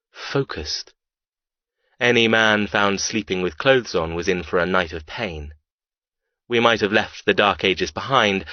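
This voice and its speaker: A very low, forceful male voice , an actor as a cold, menacing criminal heir telling his own dark story. He is brooding and arrogant, and speaks in deliberate phrases. The sound is dry, close and slightly dull. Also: no room sound. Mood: proud, cold. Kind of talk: first-person audiobook narration of dark romance.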